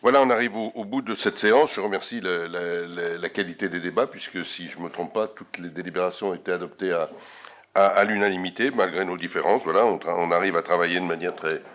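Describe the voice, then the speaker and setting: A man chairing a council meeting, making informal announcements in a halting way with repeated words. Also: low voice, a bit bright. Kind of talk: informal closing remarks and announcements.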